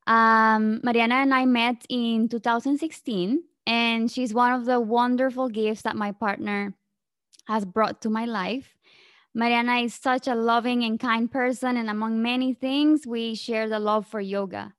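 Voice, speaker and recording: Gentle higher-voiced woman podcast host. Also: steady tone, a little slow, no room sound, studio quality. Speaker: podcast host and health coach. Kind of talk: podcast intro and interview.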